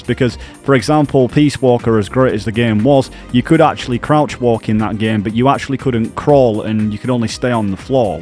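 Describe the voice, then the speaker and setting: Guy doing scripted gaming news voiceover, average pitch, bit of music behind. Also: darkish tone. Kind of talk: scripted video narration.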